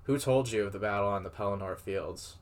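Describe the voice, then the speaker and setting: A grave, moody warrior king from a fantasy storybook, read by a man. His voice is on the low side, with a solemn, halting intensity befitting a father of few words. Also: lightly acted. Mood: wrathful.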